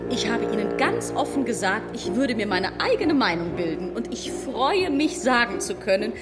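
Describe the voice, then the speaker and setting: High lively voice, self-important society lady, condescending, radio play, long hall reverb, music behind. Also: moderate pace. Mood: gushing, condescending. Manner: affected, condescending, effusive. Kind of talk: radio drama acting.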